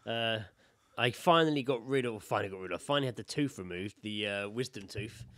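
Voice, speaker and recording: Low-voiced British man, casual podcast storyteller. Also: fairly quick. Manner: sweary, animated storyteller who acts out quotes. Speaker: podcast co-host. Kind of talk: casual chat, telling anecdotes.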